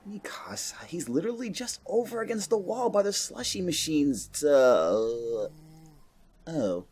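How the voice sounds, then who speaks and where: An actor playing an awkward, tired young male survivor in an audio drama, husky and casually hesitant. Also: fairly high pitch, big pitch swings, measured pace, darkish tone, exaggerated voice.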